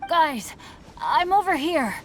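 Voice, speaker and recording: Boyish, earnest teenage adventurer. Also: female voice, high-pitched, sing-song, takes it slowly, very noisy, exaggerated voice. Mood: shaken, reassuring. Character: unlucky, earnest, apologetic teenage boy adventurer. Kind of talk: anime-style video game voice acting.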